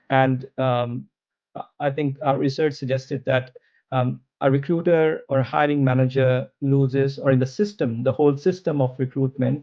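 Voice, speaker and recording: A man whose first language is not English, answering interview questions about his product in a slow, thoughtful way with many pauses and restarts. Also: medium pitch, vocal fry, dull audio. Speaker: startup founder, interview guest. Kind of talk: podcast interview.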